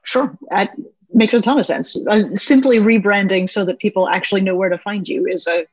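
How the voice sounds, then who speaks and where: A woman's voice: mid-range voice, steady tone, fairly quick, breathy edge, dry recording, poor audio. A woman musician hosting a podcast, asking long, rambling, chatty questions with a self-deprecating touch. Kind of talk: casual interview chat.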